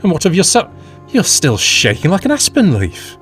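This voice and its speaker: An actor playing a level-headed, sceptical Victorian gentleman and avuncular friend in an audio drama, reasoning in formal period speech. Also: high voice, wildly swooping pitch, moderate pace, soft background music, exaggerated voice. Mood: puzzled, curious.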